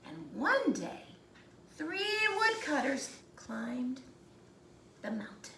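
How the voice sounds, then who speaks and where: A woman narrating a children's storybook aloud with deliberate, dramatic phrasing. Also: sits high, very animated, gravelly, pushed and bright. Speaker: storybook reader. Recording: roomy echo, faint background noise.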